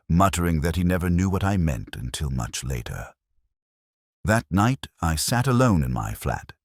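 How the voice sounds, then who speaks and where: A man reading a scripted first-person detective story in a measured, deliberate, brooding way. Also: low voice, husky, no room sound, studio quality. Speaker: narrator.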